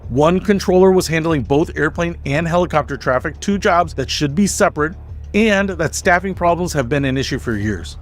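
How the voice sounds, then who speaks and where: Male video essay narrator, slightly high voice, measured and emphatic scripted delivery, faint music underneath. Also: lively intonation. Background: background music.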